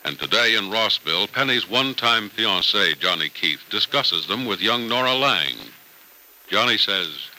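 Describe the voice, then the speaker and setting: A man's voice: fairly low pitch, animated, moderate pace, pushed and bright, low-quality recording. A man announcing and narrating old-time radio from a script in a polished, emphatic style.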